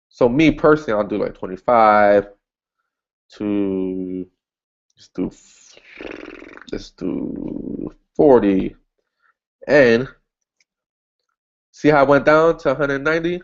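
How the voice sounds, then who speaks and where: A man's voice: lively intonation, slow, pauses often. Chatty man thinking aloud on a lo-fi recording. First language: English. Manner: chatty, thinks aloud, frequent restarts and "boom". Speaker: online marketing instructor. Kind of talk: casual screen-share tutorial.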